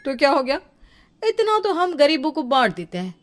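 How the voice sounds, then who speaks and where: A woman's voice: sits high, very animated, moderate pace, soft background music. A woman voicing a haughty, bragging elder sister-in-law in a cartoon story, airy and condescending. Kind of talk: cartoon story character acting.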